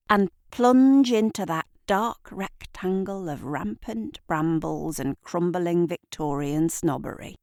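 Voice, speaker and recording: A woman narrating a scripted literary story in a measured, theatrical storytelling manner. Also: on the low side, a little mellow, dry recording, clean studio sound. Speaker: author and narrator.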